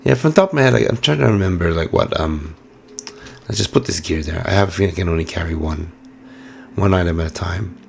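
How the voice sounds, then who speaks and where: Deep, gravelly hoarse man narrating gameplay. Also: fast, slight background noise. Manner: musing, chatty, many fillers. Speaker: game streamer. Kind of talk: casual let's-play commentary.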